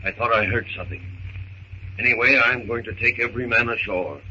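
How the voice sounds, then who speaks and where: A hard, forceful villainous sea captain. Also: male voice, medium pitch, fairly even intonation, a little fast. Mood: grim, menacing. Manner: hard-bitten, sardonic tough talk. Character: ruthless, vengeful villainous ship's captain, coolly menacing. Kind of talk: 1930s radio serial acting. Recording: muffled, hissy 1930s radio recording.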